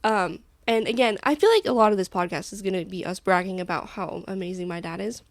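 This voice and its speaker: A woman's voice: medium pitch, fast, no echo, clean studio sound. A young woman co-hosting a podcast, telling stories in a rambling, casual way with lots of "like". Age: young adult. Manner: chatty rambling storyteller, heavy use of "like". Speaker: podcast co-host. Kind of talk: casual chat.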